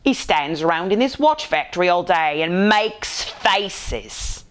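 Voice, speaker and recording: Woman reading as a jovial, animated joking chap. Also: fairly low pitch, takes it slowly. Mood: amused, joking. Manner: jovial, breathless with mirth. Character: laughing, joke-telling cheerful chap.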